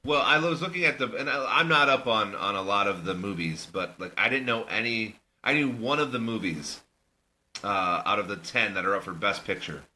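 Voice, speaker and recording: Easygoing man with a very bright voice. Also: on the low side, lively intonation, normal speed, slight background noise. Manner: easygoing, rambling, laughs often. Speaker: podcast co-host. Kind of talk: casual banter.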